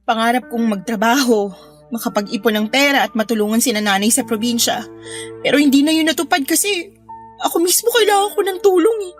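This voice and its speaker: A young woman playing an exhausted, frustrated new mother in an audio drama, speaking in a weary, emotional, halting way. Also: on the high side, faint music behind, close to an everyday voice. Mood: weary, aggrieved.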